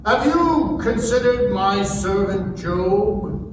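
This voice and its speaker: A man's voice: high-pitched, animated, slow. A man playing the Lord God, solemn and commanding, in a dramatic scripture reading. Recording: noisy, reverberant hall, far from microphone.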